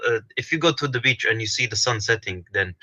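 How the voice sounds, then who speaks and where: A man calling in, speaking hesitantly with many pauses and restarts. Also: medium pitch, animated, dull audio, crowd noise. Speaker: caller.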